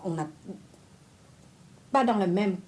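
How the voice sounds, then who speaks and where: A woman's voice: medium pitch, takes it slowly. A woman from Vietnam, a literary translator talking animatedly in an interview, restarting her phrases often. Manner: animated, many restarts and fillers. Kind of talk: conversational interview discussion.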